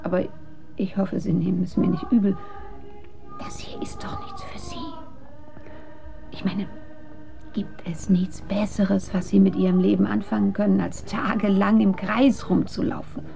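A woman's voice: medium pitch, lively intonation, a little slow, gravelly, mellow, faint music behind. An actress in a radio drama playing a kindly, doting old woman with a fond, motherly way of talking. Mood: concerned. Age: older. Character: kindly, doting old lady, devoted contest spectator.

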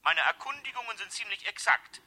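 An actor playing a smug, suave blackmailer in a German radio drama, mock-courteous and insinuating with an undertone of menace. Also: male voice, high-pitched, animated, fairly quick, sharp tone, heavily acted. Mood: menacing, smug.

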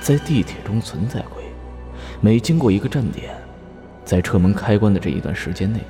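A man narrating a horror audio drama as an ominous, solemn announcer of deadly missions, speaking deliberately. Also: fairly low pitch, animated. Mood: grave, foreboding. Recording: voice over loud music.

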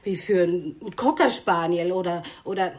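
A woman's voice: fairly low pitch, moderate pace, darkish tone, natural voice. An actress playing a touchy, reproachful wife and fussing mother in a German radio play. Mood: defensive, edgy.

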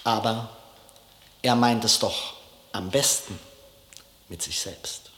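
A man playing a reflective diarist in a 1950s radio play, reading and narrating deliberately in a literary style. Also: on the low side, bright, forceful tone, slight background noise. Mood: solemn, declamatory. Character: reflective male diarist reading a memorandum aloud. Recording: echoey room, 1950s radio recording.

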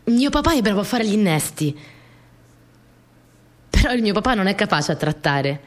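A woman's voice: mid-range voice, very animated, normal speed, lightly acted. An actress playing a young peasant girl who recounts her rural family life in simple, repetitive phrases for a radio play. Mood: reflective. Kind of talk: first-person dramatic storytelling.

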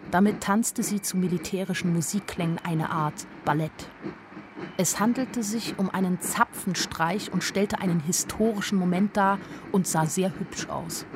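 A woman's voice: on the low side, a little slow, noisy background, natural voice. An actress playing a naive, wryly observant young woman, narrating a radio drama in a dry, matter-of-fact way. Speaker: first-person narrator.

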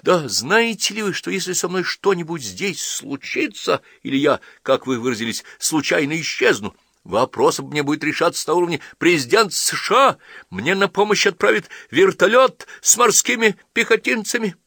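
A man's voice: on the high side, lively intonation, normal speed, creaky, bright, forceful tone, husky, dry recording, clean studio sound, pitched above the performer's own voice, full character voice. A man voicing a proud, touchy young American man who is blustering and pompous, in an audiobook story. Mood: outraged.

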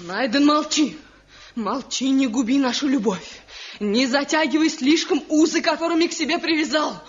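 An actress playing Milkana, a desperate young wife, pleading and tearful in a 1970s radio play. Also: female voice, high voice, moderate pace, natural voice. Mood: anguished. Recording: dull lo-fi old radio recording.

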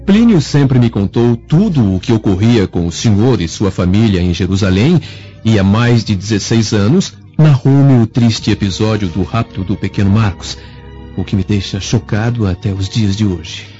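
Low, mellow, enigmatic gentleman played by an actor. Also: moderate pace, lightly acted. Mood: solemn, courteous. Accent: Brazilian Portuguese. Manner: formal, ornate, theatrical delivery. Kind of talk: Brazilian radio drama acting. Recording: muffled radio drama recording with music.

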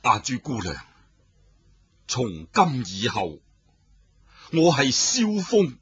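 A man's voice: mid-range voice, huge pitch swings, slow pace, husky, no echo, lo-fi sound, heavily acted. A man voicing a proud young male hero who speaks in an earnest, formal way.

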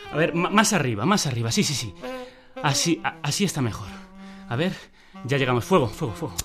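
A man's voice: medium pitch, big pitch swings, fairly quick, natural voice. Imperious Spanish theatre director, played by a man, music behind. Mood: commanding. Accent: Spain. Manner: curt, demanding, exasperated, repeats orders. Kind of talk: radio theatre acting.

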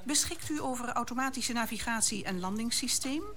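An actress playing a businesslike, sceptical air traffic controller in a radio play. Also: female voice, on the high side, brisk, a bit bright, lightly acted. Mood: formal.

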